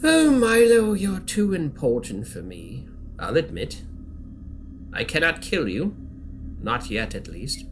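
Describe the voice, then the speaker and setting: A man's voice: a little high, sing-song, slow pace, over music. A man playing a menacing, controlling knight from a nightmare, speaking in a theatrical, threatening way in an audio drama. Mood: sinister, taunting. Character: menacing dream knight, a dark, controlling male apparition.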